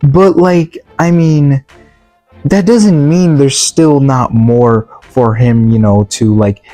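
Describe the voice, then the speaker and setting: A man's voice: medium pitch, slow pace, warm tone, no room sound, faint music behind. A young man casually reviewing a video game movie, rambling and blunt with lots of fillers, his voice sounding tired and worn out.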